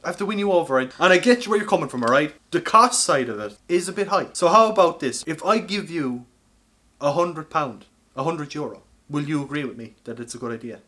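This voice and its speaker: An Irish man with a high, forceful voice in casual comic podcast banter. He talks insistently, like someone pushing a sales pitch on his mates. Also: animated, a little fast. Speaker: podcast co-host.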